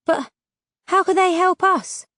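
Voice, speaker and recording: A woman's voice: very high voice, slow, bright, forceful tone, no room sound, studio quality, pitched above the performer's own voice, exaggerated voice. Woman playing a cheeky, bold young woman. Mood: doubtful. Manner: cheeky, colloquial. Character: teasing, bold young woman, rough-edged forest survivor.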